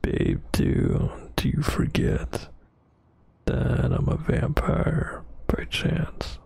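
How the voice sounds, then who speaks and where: A brooding male vampire boyfriend acted by a man in an ASMR roleplay. His voice is heavily fried and very husky, close to a whisper. He speaks slowly and haltingly, with irritated menace. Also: mid-range voice, fairly even intonation, mellow, dry recording, heavily acted. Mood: exasperated. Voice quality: breathy, husky near-whisper. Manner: halting, tense, scolding.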